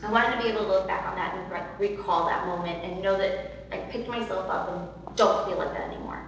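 Loud, earnest woman in echoey hall. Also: medium pitch, fairly quick. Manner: earnest, emotional, many fillers and repeats. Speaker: podcast guest. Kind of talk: personal storytelling in an interview. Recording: echoey hall from far off, faint noise.

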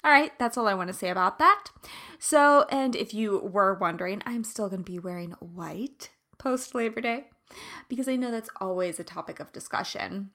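Bright-voiced woman, raspy with allergies. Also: medium pitch, moderate pace. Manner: chatty, animated, laughs often. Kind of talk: casual personal chat.

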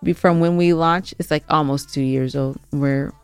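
A woman's voice: low-pitched, steady tone, normal speed, clear, resonant tone, dry recording. A woman co-hosting a podcast, chatting casually and informally, with music playing behind her. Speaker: podcast co-host.

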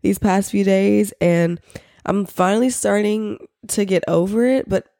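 A young woman hosting a casual podcast while sick, her voice congested, nasal and raspy, chatting with lots of fillers. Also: mid-range voice, unhurried, mellow, dry recording, clean studio sound. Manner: chatty, filler-heavy, with little laughs. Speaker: podcast host. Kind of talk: casual solo podcast chat.

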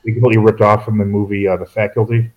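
A man's voice: lowish voice, little pitch movement, very fast, lo-fi sound. A man co-hosting a casual chat about movies, rambling and correcting himself with dry asides. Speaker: podcast co-host.

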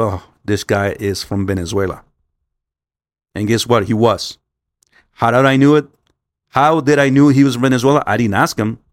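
A man's voice: mid-range voice, no echo, clean studio sound. A man, a Spanish speaker, telling a casual story to viewers in a rambling, repetitive, stop-start way peppered with swearing. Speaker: vlogger.

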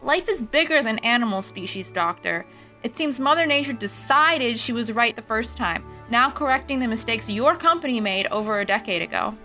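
A bright, fairly high-voiced female doctor played by an actress, skeptical and principled, questions and challenges in an audio drama. Faint music runs underneath. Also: animated, normal speed. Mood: disapproving, challenging.

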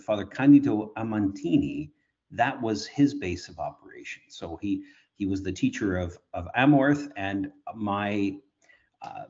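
Priest from canada on a muffled remote line, lowish voice, keeps restarting words. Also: male voice, darkish tone. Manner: measured, restarts words often. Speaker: priest and exorcist guest. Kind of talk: remote interview answers. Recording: dull lo-fi remote audio.

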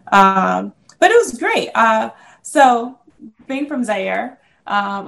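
A middle-aged woman from the Congo, an interview guest and business owner, telling stories in a lively way and laughing often. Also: mid-range voice, vocal fry. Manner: animated storyteller, laughs often. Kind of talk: casual interview conversation.

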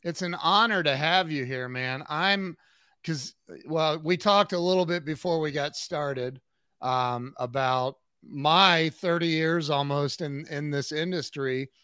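Slow, smooth-voiced man hosting. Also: fairly high pitch, sharp tone, no room sound. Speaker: show host. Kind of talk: casual, enthusiastic interview hosting.

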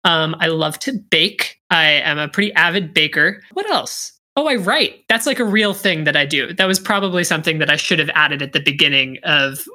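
A man's voice: fairly high pitch, normal speed, hardly any pauses, sharp tone. A young man, born in Australia and raised in Pittsburgh, introducing himself on a podcast in a playful, self-deprecating way. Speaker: guest host. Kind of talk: casual podcast chat.

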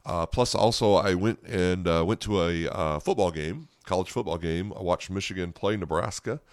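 An American man hosting a conversational sermon podcast as a pastor, speaking earnestly and directly to listeners with a slightly hoarse voice. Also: low-pitched, moderate pace, dry recording, studio quality.

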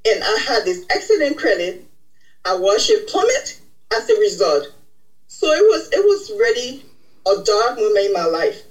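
A woman's voice: fairly high pitch, very animated, normal speed, poor audio. A middle-aged woman from Liberia, a podcast guest earnestly telling her life story in a reflective way. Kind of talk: personal story in interview.

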